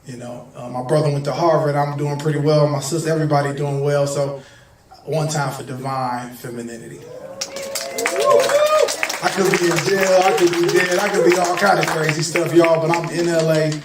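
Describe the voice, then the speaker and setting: A hoarse man from Detroit, a singer, talking loosely and directly to a gathered audience in slangy, conversational speech. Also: on the high side, steady tone, normal speed, very noisy. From Detroit, USA. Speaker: singer and guest speaker. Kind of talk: casual heartfelt talk to an audience. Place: gathering in Malibu. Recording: echoey room, distant mic, music behind.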